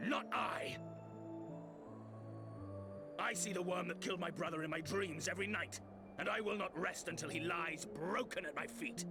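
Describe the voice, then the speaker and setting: A man's voice: lowish voice, lightly acted. A man playing an intense, embittered avenger in a fantasy video game. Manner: intense, bitter. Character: vengeful, embittered man sworn to kill dragons.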